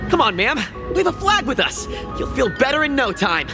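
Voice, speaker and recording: A man's voice: extremely high pitch, sing-song, moderate pace, creaky, over music, exaggerated voice. A man voicing a pushy, eagerly cheerful townsman in a video game, coaxing as he talks.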